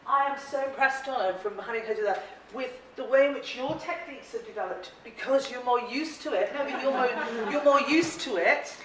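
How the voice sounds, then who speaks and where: A woman's voice: fairly high pitch, moderate pace, hardly any pauses, a bit bright. Irish woman trainer in an echoey hall. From Ireland. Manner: chatty and encouraging, laughs often, asks the room questions. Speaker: presentation skills trainer. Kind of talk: workshop talk to a live group. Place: large echoey training room. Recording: very echoey room audio, from a distance.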